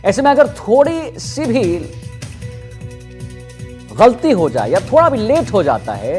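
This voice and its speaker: A man's voice: extremely high pitch, very animated, slow, darkish tone, faint music behind. A man anchoring live TV news in an emphatic, repetitive way.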